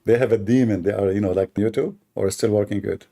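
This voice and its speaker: Husky low-voiced man hosting a live stream. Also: fairly even intonation, brisk, dark tone. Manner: chatty, laughs often, repeats words. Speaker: host of live debate stream. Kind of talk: casual live-stream talk.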